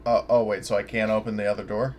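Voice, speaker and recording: A man's voice: lowish voice, flat delivery, quick pace, darkish tone, a little hiss or hum. A man playing a tabletop roleplaying game, chatting casually and thinking aloud as he describes his character's actions.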